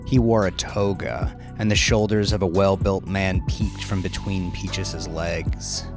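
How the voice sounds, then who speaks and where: Male narrator, low pitch, unhurried delivery, dramatic audiobook fiction, clear background music. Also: steady tone. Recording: prominent music behind the narration.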